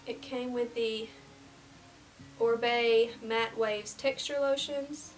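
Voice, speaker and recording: Girl in her 20s, kinda stuffy nose, rambly makeup chat, bit far from the mic, soft music behind. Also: fairly high pitch, fairly even intonation, slow pace. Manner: casual and rambling, drops to near-whispers while applying makeup. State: slightly nasal from a cold. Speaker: beauty vlogger. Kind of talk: chatty get-ready-with-me vlog. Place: small indoor room before a plain backdrop.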